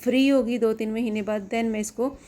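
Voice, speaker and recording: A middle-aged woman from Pakistan talks through a casual home vlog in her kitchen, chatty and homely as she explains things to her viewers. Her throat is sore, so her voice roughens now and then. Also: on the high side, fairly even intonation, normal speed, dark tone. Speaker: vlogger.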